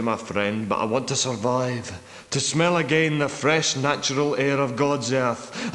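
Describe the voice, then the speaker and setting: A man's voice: mid-range voice, a bit bright, lightly acted. Game role: wary, worn-out old Scottish soldier, male actor, guarded dramatic delivery, heavy hall echo. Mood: resolute, bitter. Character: weary, wary old war veteran trapped underground for years. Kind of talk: video game character dialogue. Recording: echoey, long hall-like reverb.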